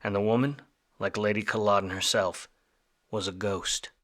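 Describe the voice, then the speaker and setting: A hard-boiled 1940s gumshoe, acted by a man, in a noir radio play. The voice is lowish with a sharp, forceful edge, and he talks in wry, self-loathing slang. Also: steady tone, moderate pace, lightly acted. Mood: brooding. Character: weary, wisecracking, self-loathing 1940s private detective. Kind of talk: film noir radio play acting and narration.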